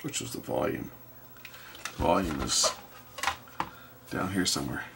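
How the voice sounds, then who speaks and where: A man's voice: extremely low pitch, unhurried, gravelly. A man giving casual, hands-on repair commentary, thinking aloud and muttering to himself as he works in an indoor workshop. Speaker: electronics repairer hosting a repair video. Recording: faint steady background noise.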